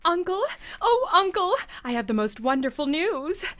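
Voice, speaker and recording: A woman playing an excitable niece in her early twenties, gushing and exclamatory, in a comic radio play. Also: very high voice, moderate pace, full character voice. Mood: ecstatic.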